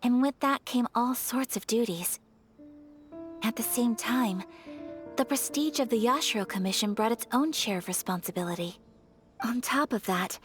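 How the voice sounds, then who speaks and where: A woman playing a refined young noblewoman in a video game, gentle and earnest, speaking softly in formal, measured phrases. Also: a little high, natural voice. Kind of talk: video game character acting. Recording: soft music behind the voice.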